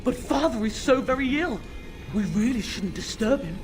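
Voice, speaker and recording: A woman plays a possessed boy in a video game who talks with cold, theatrical cruelty. His pitch leaps and sinks all over the place. Music plays clearly behind the voice. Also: expressive, moderate pace, lightly acted. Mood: petulant, scornful.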